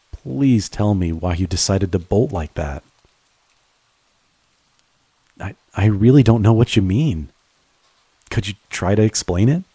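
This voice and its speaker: A man playing a devoted friend turned lover in an intimate romantic audio roleplay, speaking earnestly and haltingly to the listener. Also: sits low, mellow, breathy edge, dry recording. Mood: concerned, gentle. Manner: halting, earnest, with stammered repeats.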